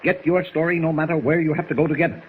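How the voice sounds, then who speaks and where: An actor playing a brisk, gruff, decisive newspaper editor in 1940s radio drama style, brusque and emphatic, on a thin, hissy old recording. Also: male voice, fairly high pitch, steady tone, narrow, tinny audio, close to an everyday voice.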